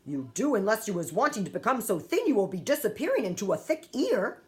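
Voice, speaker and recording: A woman's voice: mid-range voice, sing-song, a little fast, a little mellow, natural voice. A woman voicing a big, kindly male giant who jumbles his words, in a storybook reading. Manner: jumbled made-up words, eager.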